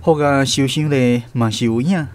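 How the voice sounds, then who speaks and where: A man with a Taiwanese accent narrating a literary radio drama in a folksy, expressive storytelling style. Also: mid-range voice, normal speed. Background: instrumental music.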